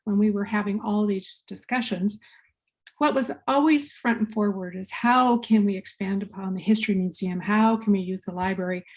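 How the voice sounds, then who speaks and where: A woman speaks earnestly and with enthusiasm, her voice at a mid pitch. She sounds like a participant on a video call meeting. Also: a little mellow. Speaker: working group member. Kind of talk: casual self-introduction.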